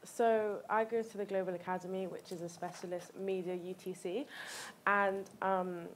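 A woman's voice: medium pitch, flat delivery, normal speed, slight background noise, rough recording. A young woman student speaking on a seminar panel, a little hesitant with fillers. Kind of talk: panel discussion remarks. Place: museum event space with a live audience.

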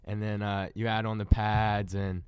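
Low, even-voiced young male athlete. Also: normal speed, clean tone, dry recording, studio quality. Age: 20s. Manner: laid-back storytelling with fillers. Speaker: college running back. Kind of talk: casual radio interview. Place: radio studio.

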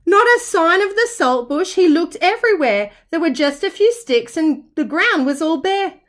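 Kindy teacher lady reading a rhyming picture book, really high voice, sing-song and dramatic. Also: expressive, moderate pace. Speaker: early-childhood teacher reading aloud. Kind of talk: children's storybook narration in rhyme.

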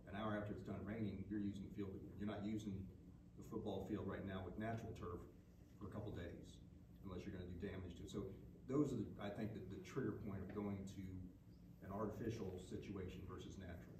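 A man explaining costs and upkeep at a school board meeting in a steady, explanatory way. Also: sits low, fast talker. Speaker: consultant. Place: large meeting room. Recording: echoey room, far from the mic, background noise.

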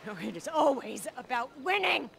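Angry neurotic 30s fitness freak woman, high voice with fry, sweary game character, some background noise. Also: lively intonation, moderate pace, a bit bright, full character voice. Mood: bitter, frantic. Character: abrasive, insecure, angry 39-year-old single fitness fanatic woman. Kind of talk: video game cutscene acting.

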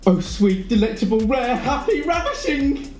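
An excited, plotting revenger from a Jacobean audio drama, as performed by an actor. The middle-pitched voice revels in the scheme in an echoing room, with faint music behind. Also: normal speed, close to an everyday voice. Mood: elated. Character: excited scheming revenger in disguise, gleeful sibling. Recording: echoey room with music underneath.

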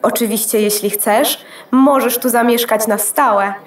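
A woman's voice: fairly high pitch, fairly even intonation, measured pace, natural voice. A woman playing a gracious, easily flustered motherboard hostess in a children's audio drama, polite, effusive and rambling. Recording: echoey room.

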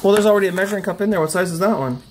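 A man's voice: sits high, rapid-fire, a little hiss or hum. A man in his thirties hosting a casual home vlog, joking and asking questions, filmed on a handheld phone in a small kitchen. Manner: joking, teasing, asks lots of questions. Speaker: vlog host. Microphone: handheld phone camera.

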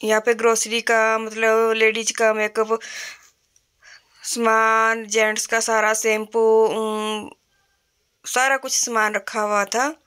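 A woman's voice: a little high, flat delivery, slow pace, a bit bright, clean tone. A middle-aged woman from Himachal Pradesh, India, chatting plainly with fillers while filming a shop walkthrough on her phone. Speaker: vlogger. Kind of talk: casual shop walkthrough vlog. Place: indoor retail store.

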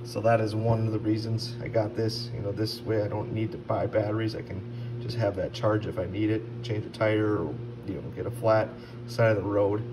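Clear background noise surrounds a man speaking some distance from the mic. His voice sits fairly low. He talks informally and haltingly, as in a casual unscripted review of a product. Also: level tone. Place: indoors at a stone countertop.

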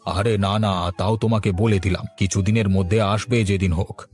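A man's voice: on the low side, steady tone, moderate pace, a little mellow, soft background music, close to an everyday voice. Man playing an easygoing village husband. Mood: pleased, relaxed. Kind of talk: Bengali cartoon voice acting.

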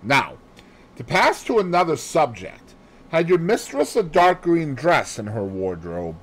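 A man voicing a calm, self-assured, probing detective in an audiobook, with a businesslike, deliberate manner. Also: a little high, voice pushed up, heavily acted. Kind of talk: audiobook character voice.

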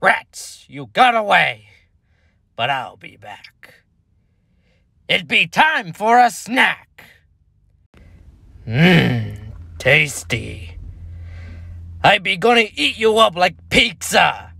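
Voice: mid-range voice, big pitch swings, extremely slow, vocal fry, long pauses, sharp tone, slightly rough, dry recording, studio quality, full character voice. A performer voicing a menacing, hungry robotic pirate fox villain in game-style voice lines, with pirate talk. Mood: hungry threatening.

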